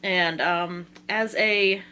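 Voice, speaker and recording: A woman's voice: fairly low pitch, very slow. Chatty American woman with background hum. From Virginia, United States. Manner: excitable, informal, rambling with slangy asides. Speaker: book vlogger. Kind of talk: casual chatty video talk.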